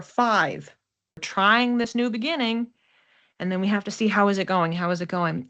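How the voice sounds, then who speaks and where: A woman's voice: mid-range voice, a bit bright. A woman hosting an oracle card reading in an informal, conversational way, often repeating and listing words for emphasis. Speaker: host, oracle card reader.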